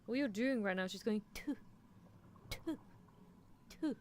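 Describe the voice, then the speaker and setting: A woman's voice: fairly high pitch, measured pace, a little hiss or hum, close to an everyday voice. A woman voicing a playfully menacing, teasing female mad scientist in a roleplay ASMR stream, musing theatrically. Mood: mocking, exasperated. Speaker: livestreamer. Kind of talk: roleplay ASMR livestream, answering chat in character.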